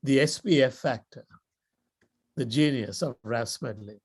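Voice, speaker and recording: Sri Lankan man giving a measured speech. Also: mid-range voice, slightly rough. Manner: measured, deliberate storytelling with dramatic pauses. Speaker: guest speaker. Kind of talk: motivational speech.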